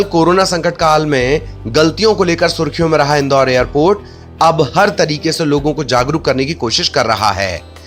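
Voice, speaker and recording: A man narrating scripted news features as a voice-over. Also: a little high.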